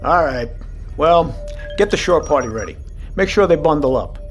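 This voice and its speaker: An actor playing a calm, seasoned submarine captain, commanding and reassuring, in an audio drama. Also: male voice, on the high side, normal speed, soft background music, close to an everyday voice. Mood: measured, resolute.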